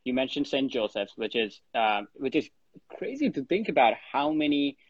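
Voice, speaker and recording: A man's voice: medium pitch, very animated, moderate pace, breathy edge, dry recording, dull audio. A man from Bangladesh hosting an interview, asking questions in a casual, loose way, full of "you know" and "like". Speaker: interview host.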